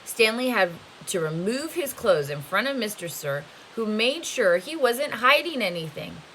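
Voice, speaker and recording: A woman reading a novel aloud as a teacher would to students, with expressive storytelling narration. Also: fairly high pitch, measured pace, low-quality recording. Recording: faint hiss behind the voice. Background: faint steady hiss.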